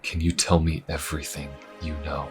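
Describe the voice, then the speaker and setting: Calm, shrewd undercover inquisition adventurer, male actor, extremely low register, measured and conspiratorial, fantasy audio drama. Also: faint music behind. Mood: earnest, conspiratorial. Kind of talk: fantasy audio roleplay, intimate conversational acting.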